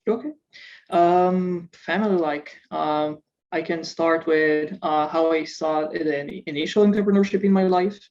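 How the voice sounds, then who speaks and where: A man's voice: on the high side, steady tone, a little slow, darkish tone, lo-fi sound. A startup founder from Israel, a man, answering interview questions hesitantly, with many filler sounds. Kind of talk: casual interview over video call.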